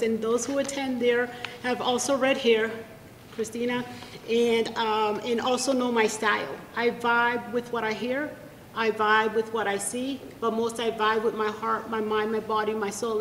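Casting: bilingual woman, high voice, heartfelt, at a podium mic in an echoey hall. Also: steady tone, moderate pace, a bit bright, a little hiss or hum. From United States. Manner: bilingual, switching between English and Spanish, heartfelt. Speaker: poet reading at a library event. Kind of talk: spoken introduction to a poetry reading. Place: library event room. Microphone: podium microphone. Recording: echoey room, long reverb.

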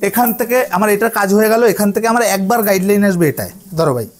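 A man's voice: high-pitched, expressive, very fast. A man giving a hands-on lesson as a hairdressing instructor, repeating his phrases and often checking that learners follow. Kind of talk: hands-on tutorial to trainees.

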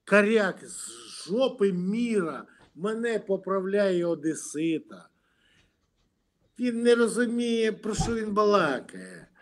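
High-voiced man hurling insults. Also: very animated, slow. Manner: aggressive, insulting, swearing, mocking. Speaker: video chat stranger. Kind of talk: heated argument. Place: home room indoors. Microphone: webcam on a video call.

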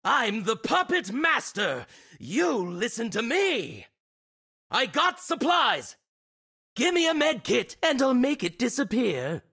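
Raspy, high, theatrical puppet-master game showman. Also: wildly swooping pitch, slow pace, sharp tone, exaggerated voice. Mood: showy, commanding. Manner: theatrical, exclamatory. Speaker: video game character voice pack. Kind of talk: shouted battle royale game callouts.

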